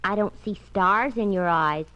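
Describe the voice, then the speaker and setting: A woman's voice: medium pitch, normal speed, pushed and bright, close to an everyday voice. An actress playing a perky, teasing, scatterbrained secretary in a 1940s radio drama, playful and dreamy in her delivery. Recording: muffled vintage 1940s radio recording.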